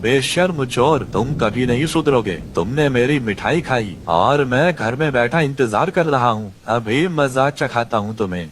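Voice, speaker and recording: A man's voice: mid-range voice, animated, measured pace, few pauses, background noise, close to an everyday voice. A man voicing a stern, demanding male eagle in a cartoon story. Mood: furious. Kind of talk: Hindi cartoon story voice acting.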